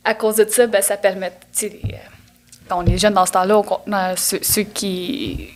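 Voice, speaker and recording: A woman's voice: medium pitch, little pitch movement. A young woman from Quebec whose first language is Atikamekw, telling stories as a podcast guest with a Quebec French accent and many hesitations. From Atikamekw community of Manawan, Quebec. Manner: halting, with frequent hesitations and restarts. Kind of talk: casual conversational storytelling.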